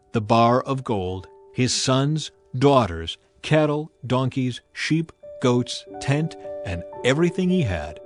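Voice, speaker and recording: A man narrates an audio Bible in a scripted, dramatized style. His voice sits at a middle pitch, with music playing behind him. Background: background music.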